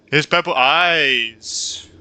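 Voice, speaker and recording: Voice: medium pitch, bright, forceful tone, slight background noise. A streamer casually reacting to gameplay with offhand exclamations.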